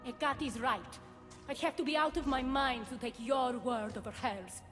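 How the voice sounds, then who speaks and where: A woman playing an imperious, high-voiced queen goddess. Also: normal speed, close to an everyday voice. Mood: cold, suspicious. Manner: commanding, theatrical, cutting. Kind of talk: dramatic video game acting. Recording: long hall reverb, music behind.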